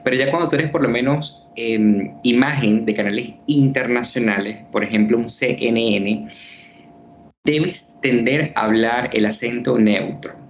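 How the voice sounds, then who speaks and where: A man's voice: mid-range voice, measured pace, lo-fi sound, a little hiss or hum. Man explaining like a teacher. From Venezuela. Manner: earnest, teacherly, many fillers. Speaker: journalist and university teacher. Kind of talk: explanatory interview answers.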